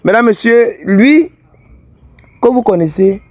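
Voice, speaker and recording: A man's voice: very high voice, expressive, slow pace, a little mellow, dry recording. A man with an English-speaking Cameroonian accent hosting a fidelity-test vlog, speaking in a blunt, scolding, teasing way. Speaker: fidelity tester vlogger host. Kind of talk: street vlog confrontation.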